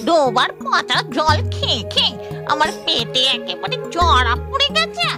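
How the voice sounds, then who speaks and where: A woman's voice: high-pitched, big pitch swings, a little slow. A woman voicing a greedy, bossy female mosquito witch queen in a children's cartoon, whiny and scolding. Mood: bossy, impatient. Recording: loud music under the voice.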